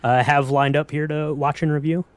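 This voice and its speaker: A man's voice: a little high, level tone, moderate pace, no echo. A man hosting a podcast, asking questions in a casual interview style. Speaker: podcast host.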